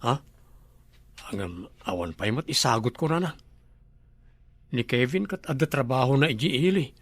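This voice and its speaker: A man's voice: medium pitch, expressive, moderate pace, no room sound. A young man with an Ilocano accent, acting in a comedy drama, teasing and quizzical.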